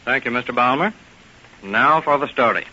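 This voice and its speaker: Man, radio announcer, formal and measured, piercingly bright voice, lo-fi old broadcast. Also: medium pitch.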